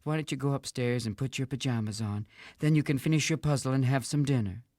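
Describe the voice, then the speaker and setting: A man voicing a gentle, indulgent mother of young children in a read-aloud storybook, in a coaxing manner. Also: mid-range voice, quick pace, dry recording, clean studio sound, pitched above the performer's own voice, close to an everyday voice.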